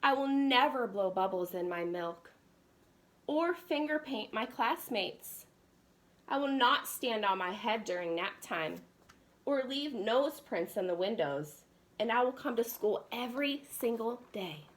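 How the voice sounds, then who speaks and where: A woman's voice: on the high side, expressive, measured pace, a bit bright. A woman voicing an eager, persistent young boy pig in a children's storybook, earnest and insistent. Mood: pleading, insistent. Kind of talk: children's storybook read-aloud.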